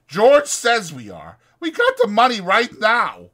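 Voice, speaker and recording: A stubborn old ranch hand, pitched very high and loud. He speaks in a rustic drawl, insistent and easily indignant, in audiobook drama style. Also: huge pitch swings, unhurried, full character voice. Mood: defiant, fervent. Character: old crippled swamper man, stubborn, excitable dreamer.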